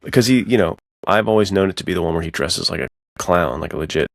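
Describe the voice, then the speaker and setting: Man, very deep voice, casual co-host banter, enthusiastic bro slang, dry close sound. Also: expressive, fast, gravelly, no echo. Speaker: podcast co-host. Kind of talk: casual chat about spy films.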